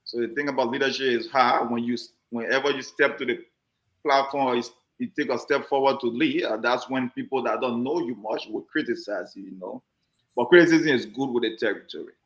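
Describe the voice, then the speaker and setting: A man hosts a community talk show in a joking, chatty way and often doubles back on his words. His voice sits at an ordinary pitch for a man. The sound carries a noticeable room echo. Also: brisk, a bit bright. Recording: roomy echoey sound.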